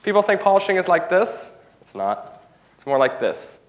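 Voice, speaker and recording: A man's voice: high-pitched, very animated, normal speed. Man talking with a stuffy cold. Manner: informal, restarts phrases, engages audience. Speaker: conference speaker. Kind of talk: technical conference talk. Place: conference room.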